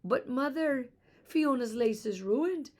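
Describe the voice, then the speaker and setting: A woman reading a children's storybook, voicing a frightened, sobbing little girl who pleads in a shrill, panicked way. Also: lively intonation, slow pace, a little mellow. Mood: panicked, tearful. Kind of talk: children's storybook read aloud.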